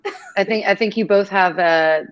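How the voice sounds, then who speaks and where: A woman's voice: mid-range voice, normal speed, highs cut off. A woman guest on a video-call interview, talking in an effusive, grateful and rambling way. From half Greek, half Irish family. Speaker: podcast guest.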